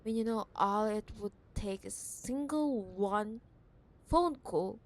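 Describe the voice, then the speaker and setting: A woman acts out a rich, possessive cyberpunk CEO toying with her ex in an intimate audio drama. She talks slowly at a medium pitch, stretching words for emphasis. Her manner is teasing, condescending and full of scorn. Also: expressive. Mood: exasperated, scornful. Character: possessive, condescending wealthy female CEO ex-girlfriend in cyberpunk city. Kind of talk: ASMR audio roleplay, intimate dramatic monologue.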